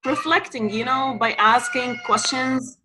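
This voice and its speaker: A woman's voice: fairly high pitch, unhurried, noisy background. A woman teacher speaking firmly and insistently in an online discussion over a video call.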